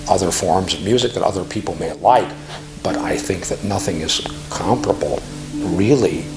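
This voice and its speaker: A middle-aged man speaking earnestly and emphatically in a reflective interview testimonial, phrasing deliberately. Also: on the low side, creaky, poor audio. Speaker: classical music professional giving a testimonial.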